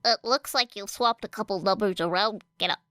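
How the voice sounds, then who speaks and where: A woman reading a fan audiobook, voicing a calm, kind teenage girl who speaks gently and matter-of-factly. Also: mid-range voice, fairly quick, clear, resonant tone, no echo, natural voice. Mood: gentle.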